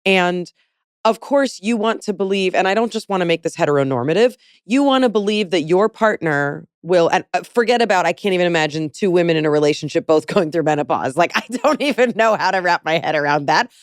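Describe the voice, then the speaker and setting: A woman's voice: on the low side, animated, a little fast, smooth, no room sound, clean studio sound. A woman in her forties hosting an interview, talking candidly and rambling with many fillers. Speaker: podcast host. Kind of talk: casual interview chat.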